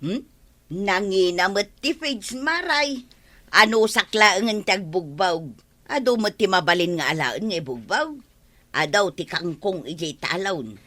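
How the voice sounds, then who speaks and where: An actress playing a plain-spoken, argumentative village wife in an Ilocano radio comedy drama, with an Ilocano accent. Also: female voice, mid-range voice, animated, measured pace, bright, forceful tone. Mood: matter-of-fact.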